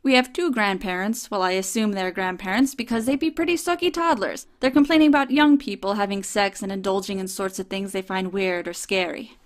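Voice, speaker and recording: Female reviewer for a comedic review show; sardonic and profane, mid-pitched voice. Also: brisk, hardly any pauses. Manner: sardonic, swears casually. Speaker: film reviewer.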